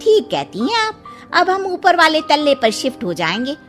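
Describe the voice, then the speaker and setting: A woman's voice: sits high, huge pitch swings, normal speed, soft background music. A woman voicing a cheerful, easygoing middle-aged mother-in-law in a cartoon, chatty and agreeable.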